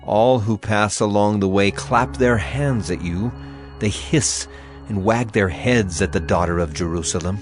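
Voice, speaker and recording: A man's voice: on the low side, a little slow, faint music behind, lightly acted. A man in a dramatized scripture reading, grave and earnest, addressing the listener in exhortation. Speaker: narrator.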